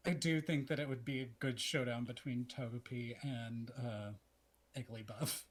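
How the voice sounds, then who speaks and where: An American podcast co-host from Texas with a medium-pitched voice. The delivery is casual and conversational. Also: steady tone, moderate pace, husky. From Texas, United States. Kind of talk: casual chat.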